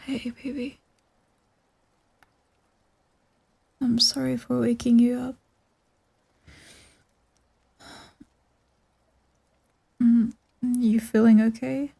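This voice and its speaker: A woman playing a tender, sleepy girlfriend in an ASMR comfort roleplay, speaking softly and soothingly. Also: fairly high pitch, level tone, moderate pace, vocal fry, slightly rough. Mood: sleepy, tired. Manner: soft, soothing, drowsy, murmuring into whispers.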